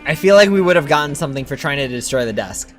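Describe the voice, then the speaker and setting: A man's voice: fairly high pitch, expressive, quick pace, fairly bright tone. A man giving casual gameplay commentary as a streamer. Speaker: game streamer. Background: game music.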